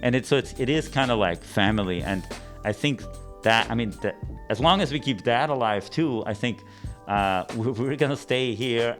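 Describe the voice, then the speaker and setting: A middle-aged man with an Austrian accent who tells his story in a relaxed interview, rambling with many restarts and fillers. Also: medium pitch, moderate pace, music in the background. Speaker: arts program director.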